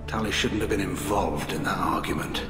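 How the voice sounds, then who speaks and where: An actor playing a frank, shrewd alien military admiral in a video game, direct and probing. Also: male voice, low voice, normal speed, natural voice. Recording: echoey hall, music behind.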